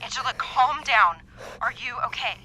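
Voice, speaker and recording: A woman's voice: fairly high pitch, moderate pace, sharp tone, a little hiss or hum, rough recording. An actress playing an anxious, insistent woman medical researcher in an apocalyptic audio drama, speaking with urgent emotion. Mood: alarmed.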